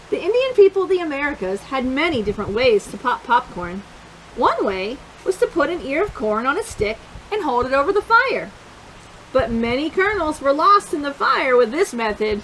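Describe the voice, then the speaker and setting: A high-pitched woman reads a children's book aloud with very lively, swooping intonation. She stresses and stretches key words and chats to young listeners in asides. A faint-to-clear hiss runs under the recording. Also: poor audio. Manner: expressive, emphatic, drawing out key words. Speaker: children's storytime reader.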